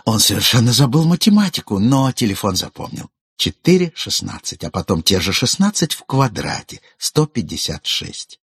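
A man reading literary prose aloud as an audiobook narrator, in a measured, ironic storytelling manner. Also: on the low side, very animated, fairly bright tone, slightly rough, dry recording, clean studio sound.

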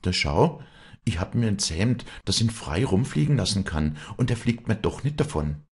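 A male narrator plays a proud young woman of the mountains in an audiobook drama. Her voice is pushed well up and swings widely, with a husky grain. She speaks an emphatic Bavarian-Austrian Alpine dialect, fiery and protective. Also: on the low side, very animated, normal speed, darkish tone, pitched above the performer's own voice, exaggerated voice. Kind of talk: dramatic audiobook character dialogue.

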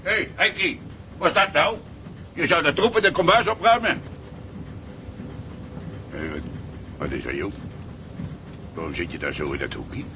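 Fatherly ship's cook, very lively pitch. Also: normal speed, pauses often, background noise. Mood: surprised, concerned. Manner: folksy, reassuring, chuckling. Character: kindly, fatherly old-hand ship's cook. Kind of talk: Dutch radio drama acting.

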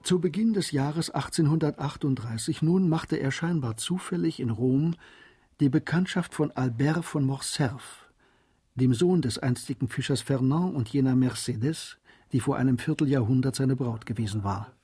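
A man narrating a historical radio drama as a composed storyteller, in a measured, literary manner. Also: mid-range voice, dark tone, breathy edge, natural voice. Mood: grave, measured.

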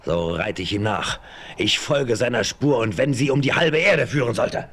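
A man's voice: mid-range voice, normal speed, fairly bright tone, a little hiss or hum, natural voice. An actor playing a brooding, vengeful man of the frontier, intense and emphatic, in a German radio play. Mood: grimly determined. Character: brooding, vengeful frontier westerner hunting his enemy.

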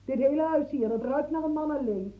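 A woman's voice: sits high, phone-quality sound, natural voice. A woman playing a fussing, outspoken bookbinder. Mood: chattering. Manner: brisk, fussing, coaxing. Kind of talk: radio drama acting.

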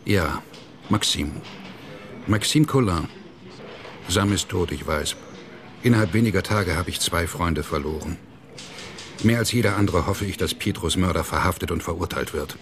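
An actor playing a quiet, dignified company director in a radio crime drama, measured, formal and guarded. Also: male voice, low-pitched, a little hiss or hum. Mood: sober. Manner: measured, soft-spoken, formal.

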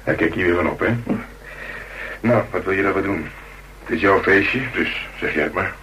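A man's voice: sits low, moderate pace, pushed and bright. An actor playing a cool, protective tough man in a radio play, dryly teasing in few words. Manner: laconic, dry, teasing. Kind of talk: radio drama acting. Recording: distant, noisy old radio recording.

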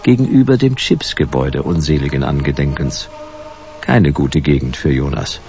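A hard-boiled private detective in a slightly dull-sounding radio drama. He is laconic and sardonic, a wry, deadpan noir figure who does not let go. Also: a bass voice, normal speed, faint music behind. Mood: wry, dry.

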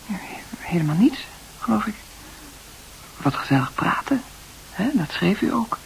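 An actress playing a shy, modest, bewildered nurse in a Dutch radio play, speaking in a breathy, hesitant, halting way. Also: female voice, mid-range voice, sing-song, in a whisper, rough recording. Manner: hesitant, soft-spoken, halting.

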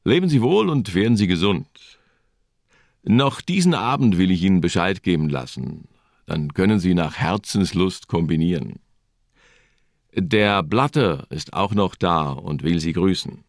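A courteous, formal police official in a crime radio play. His voice is very deep and gravelly, heard close and dry. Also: animated, measured pace, no room sound, full character voice. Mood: polite, obliging. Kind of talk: radio drama acting.

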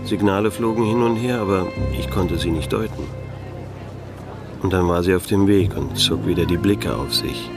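An actor playing a disillusioned middle-aged private detective in a radio play, laconic and dryly sardonic, narrating in noir style. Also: male voice, deep voice, moderate pace, over music. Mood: wry, brooding.

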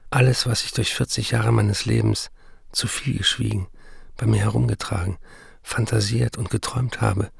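Casting: a restless, addicted male novelist for radio drama; husky low voice, literary monologue. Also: fairly even intonation, moderate pace, no room sound, studio quality, full character voice. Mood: driven, urgent. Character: addicted male writer, restless, narrating his life and letters. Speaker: actor in a radio play.

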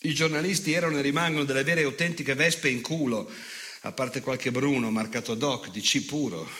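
An actor playing an unrepentant, ageing fascist in a theatrical monologue, declamatory and sardonic, repeated phrases and dramatic pauses. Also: male voice, medium pitch, pushed and bright, close to an everyday voice. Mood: scornful. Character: unrepentant ageing fascist nostalgic, bitter, sneering, menacing man.

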